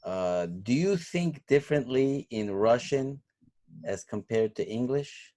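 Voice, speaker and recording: A man's voice: medium pitch, expressive, slow, warm tone. A man whose first language was Turkish, a course instructor giving feedback and asking questions in a class, rambling and thinking aloud with many fillers.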